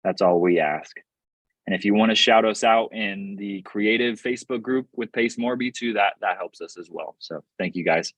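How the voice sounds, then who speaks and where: A man's voice: fairly low pitch, normal speed, a bit bright, no echo, low-quality recording. Upbeat man hosting a video call. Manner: upbeat, hyping up the audience. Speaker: real estate investing host. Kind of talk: live video call workshop.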